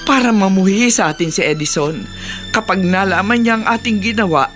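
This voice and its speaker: Bright, anxious, insistent mother, played by an actress. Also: medium pitch, fairly quick, soft background music, lightly acted. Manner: insistent, worried. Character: anxious, insistent mother fearful of losing her son. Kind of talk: melodramatic radio drama acting.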